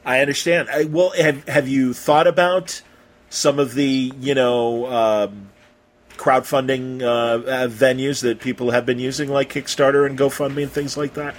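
A man hosting a casual podcast interview, talking in an effusive, rambling, halting way with some mild swearing. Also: mid-range voice, steady tone. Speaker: podcast host interviewing a guest.

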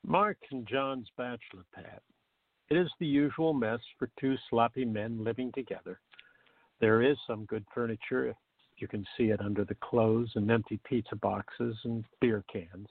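A man with a gravelly voice narrates a scripted radio play. His pitch is medium. The recording is dry and narrow, like a telephone. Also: fairly even intonation, normal speed, dry recording. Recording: muffled, phone-like narrow-band audio.

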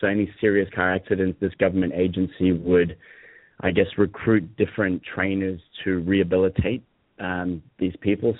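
A man's voice: low voice, no room sound. A man with an Australian accent that has some American and South African mixed in, a fitness business coach chatting as a guest and laughing easily. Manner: relaxed, laughs easily, many fillers. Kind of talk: casual interview chat. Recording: dry, tinny phone-like line.

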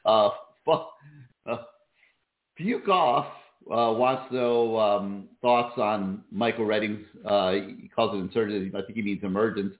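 A man hosting a sports call-in show, talking casually with many fillers, his voice going hoarse. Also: lowish voice, unhurried, reverberant, phone-quality sound. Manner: relaxed, many fillers and restarts. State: losing his voice. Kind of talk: casual call-in radio talk.

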